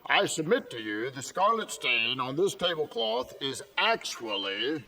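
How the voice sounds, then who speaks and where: An actor playing a small-town banker who rehearses as a radio-mystery inspector, speaking deliberately with measured pauses. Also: male voice, on the high side, big pitch swings, gravelly, bright, forceful tone, heavily acted. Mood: grandly suspenseful. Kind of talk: film acting, mock radio-mystery delivery. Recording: reverberant hall, music behind.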